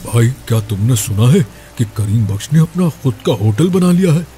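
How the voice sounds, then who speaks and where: A man's voice: mid-range voice, expressive, normal speed, warm tone, slight background noise, close to an everyday voice. A man playing a nosy, suspicious villager in a scripted cartoon moral story. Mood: gossiping, suspicious.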